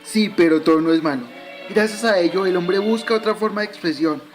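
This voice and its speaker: A man's voice: high voice, brisk, music in the background, natural voice. A man playing a patient, instructive dead father in an amateur radio drama, explaining things to his son.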